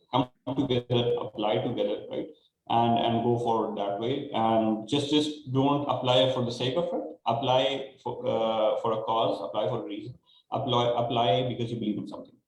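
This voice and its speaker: Man, mid-pitched, echoey room, faint noise, emphatic panel advice. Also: darkish tone. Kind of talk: advice in an online panel discussion.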